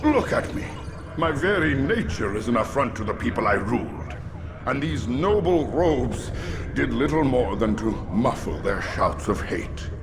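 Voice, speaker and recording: Theatrical, bitter villain lord, swooping delivery. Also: male voice, medium pitch, sing-song. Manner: theatrical, slow, grandiloquent. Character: bitter, defiant outcast merchant lord, grandiose villain. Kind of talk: dramatic video game acting. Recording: game dialogue over background music.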